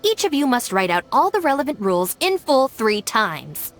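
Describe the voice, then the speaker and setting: An actress playing a stern, capable young female gang deputy in a video game, speaking in a crisp, matter-of-fact way. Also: fairly high pitch, big pitch swings, normal speed, loud music behind the voice.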